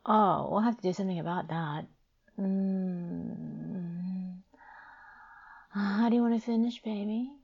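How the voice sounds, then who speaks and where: A woman in an intimate adult roleplay, speaking in a breathy, sultry way that often slides into whispering. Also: mid-range voice, takes it slowly, pauses often, muffled recording. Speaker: performer of an erotic audio roleplay. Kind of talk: intimate erotic roleplay addressed to an adult partner.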